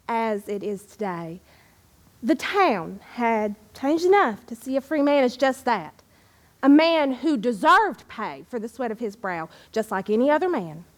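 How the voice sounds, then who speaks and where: A woman playing an Appalachian poet of yesteryear, fond and proud, in a theatrical living-history monologue with dramatic pauses and deliberate, expressive delivery. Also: a little high. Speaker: historical reenactor reciting poetry. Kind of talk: theatrical first-person living-history monologue with verse recitation.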